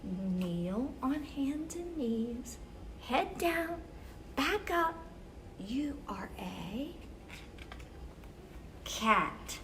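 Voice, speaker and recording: A woman hosting a children's story time, reading picture books aloud expressively with measured, deliberate phrasing for young listeners. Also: high-pitched, big pitch swings, fairly bright tone, a little hiss or hum, low-quality recording.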